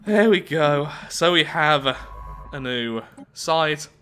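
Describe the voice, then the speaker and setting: Bright-voiced man narrating a strategy game. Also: a little high, lively intonation, takes it slowly, soft background music. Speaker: gaming video host. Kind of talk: roleplay game commentary mixed with read-aloud event text.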